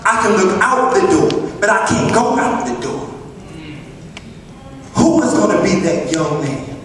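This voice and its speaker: A young man preaching an impassioned sermon to young people, emphatic and repetitive, often raising his voice toward a shout. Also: sits high, slight background noise. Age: young adult. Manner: emphatic, repeats phrases, often raises voice to a shout. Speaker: youth preacher. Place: large church hall, indoors. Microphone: handheld microphone through PA, filmed by distant camera. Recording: echoey hall from far off, crowd behind.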